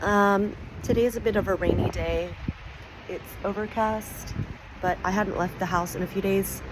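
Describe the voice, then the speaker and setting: A woman from the United States, hoarse and raspy from bronchitis, chatting casually and digressively to camera outdoors on a street. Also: mid-range voice, fairly even intonation, normal speed. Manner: chatty, digressive, thinking aloud. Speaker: expat vlogger. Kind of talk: casual vlog talk to camera. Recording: outdoor street noise behind.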